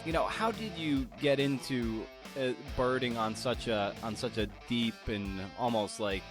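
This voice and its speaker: A man's voice: mid-range voice, a little slow, music in the background. A man hosting a podcast, moving between scripted introduction and interview questions. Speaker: podcast host.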